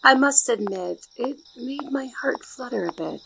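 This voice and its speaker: A woman playing a shy, devoted young maid in a soft ASMR roleplay, speaking politely and formally with flustered hesitation in a soft, breathy voice. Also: a little high, expressive, a little slow, noisy background. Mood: fond, musing.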